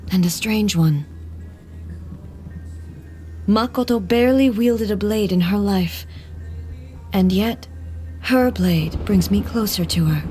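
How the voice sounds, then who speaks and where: A woman playing a solemn, dignified warrior deity in scripted video game dialogue, formal and measured. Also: medium pitch, darkish tone, close to an everyday voice. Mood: reflective, resolute.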